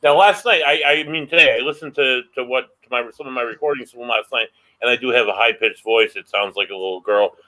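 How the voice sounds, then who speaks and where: A man co-hosting a paranormal podcast, recounting an investigation earnestly with halting restarts. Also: medium pitch, pushed and bright, low-quality recording. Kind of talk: casual chat.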